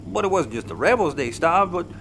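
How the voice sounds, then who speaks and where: An actor playing a folksy patriarch in an audio drama, telling his story in a dramatic, deliberate way. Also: sits high, very animated, a bit bright, a little hiss or hum, heavily acted. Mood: grave, haunted. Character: folksy Texan patriarch haunted by wartime hardship. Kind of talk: audio comedy drama acting, storytelling monologue. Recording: music underneath.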